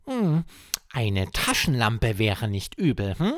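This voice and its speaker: A man's voice: medium pitch, huge pitch swings, slow, dry recording, studio quality. A man voicing a cheeky, teasing plush hare who hums and asks playful questions in a children's bedtime story.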